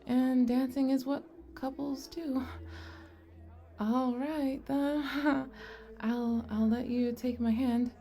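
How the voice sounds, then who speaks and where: Woman acting a shy, high-voiced goblin girl. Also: fairly even intonation, takes it slowly. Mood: bashful, playful. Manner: hesitant, soft-spoken, bashful stammering. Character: shy, sweet, nerdy young goblin girl. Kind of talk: ASMR romantic roleplay acting.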